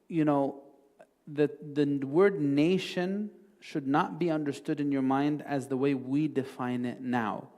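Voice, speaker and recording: A man's voice: medium pitch, darkish tone. A man speaking as a religious teacher in an informal lecture, explaining step by step and checking in with listeners through short questions. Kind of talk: informal Islamic class lecture, answering a question.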